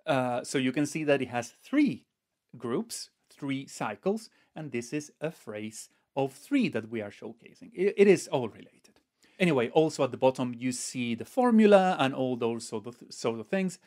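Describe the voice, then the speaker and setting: A man in his fifties giving a methodical, step-by-step lesson to camera as a music teacher, pausing as he explains. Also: medium pitch, big pitch swings, darkish tone. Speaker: music teacher, online video host.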